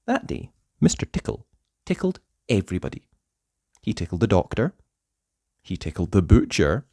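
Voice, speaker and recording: A man narrating a children's storybook aloud in a playful way, with dramatic pauses and emphasis. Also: lowish voice, big pitch swings, gravelly, warm tone, husky, no echo, clean studio sound.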